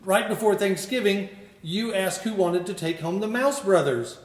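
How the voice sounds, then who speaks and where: An older man, a school principal, reading a picture book aloud with expressive storytelling. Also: high-pitched, moderate pace, distant mic. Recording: roomy echo.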